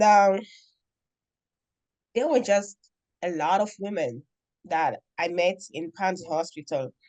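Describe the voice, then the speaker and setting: A middle-aged woman from the Democratic Republic of Congo, telling a story as a guest in an interview in an earnest, deliberate way with many pauses. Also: on the low side, darkish tone, low-quality recording. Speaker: guest, nonprofit founder.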